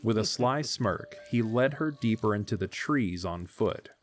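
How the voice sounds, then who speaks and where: A man's voice: lowish voice, lively intonation, measured pace. A man narrating a scripted story as the narrator of an audio drama.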